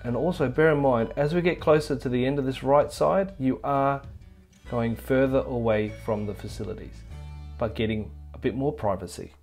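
A man's voice: medium pitch, normal speed, music in the background. A middle-aged man from Australia hosting a casual camping vlog by a river, chatty and upbeat, laughing off his own slip-ups. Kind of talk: casual travel vlog with bloopers. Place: outdoors by a river in a bush reserve. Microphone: camera from a few metres away.

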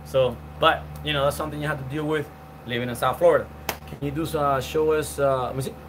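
Chatty man, lively higher voice. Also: quick pace, noisy background. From Cuban. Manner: chatty, rambling, many fillers and restarts, greets viewers by name. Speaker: live stream host. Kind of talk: casual live-stream chat reading comments. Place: outdoor patio with palm trees.

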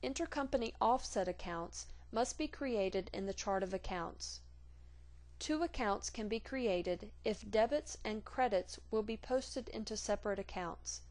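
A woman reading scripted instructional narration for a software tutorial in a measured, formal, neutral manner. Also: mid-range voice.

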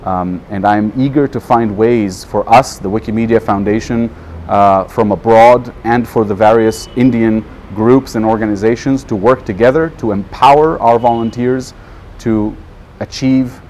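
A man in his thirties with a fairly low voice speaks English as a native Hebrew speaker. He talks to camera in a measured, thoughtful manner. He is outdoors, with noticeable ambient noise around the voice. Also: fairly even intonation, a little mellow. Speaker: non-profit program manager. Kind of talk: informal talk to camera.

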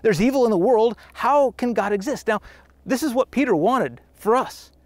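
Brief: man, 30s, pastor, outdoor sermon, higher-pitched, dry close-miked, earnest conversational delivery. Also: normal speed, dry recording. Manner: earnest, conversational, with restarts and lively emphasis. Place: outdoors on a dirt trail.

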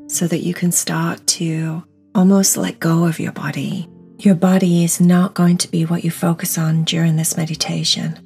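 A woman's voice: fairly low pitch, a little mellow, husky. A woman guiding a meditation in an instructional, soothing and encouraging manner, stressing words by repeating them. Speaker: meditation guide. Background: music.

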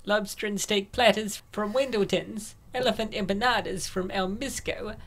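Casting: actress as poised, wealthy young woman for comic dub, medium-pitched. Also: fairly even intonation, normal speed, close to an everyday voice. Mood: relaxed, indulgent. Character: rich, poised, unsuspecting young woman friend.

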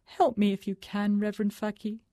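A woman's voice: medium pitch, level tone, brisk, warm tone, no echo, studio quality, voice pushed up, close to an everyday voice. A woman voicing a weak, frightened male thief who groans and falters. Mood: fearful.

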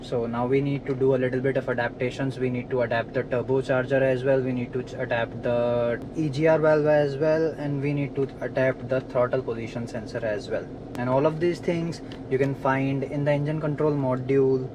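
A man's voice: medium pitch, steady tone, a little fast, few pauses, noisy background. A man explaining a car repair step by step while he works inside a parked car. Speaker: DIY car mechanic. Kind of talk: hands-on repair tutorial.

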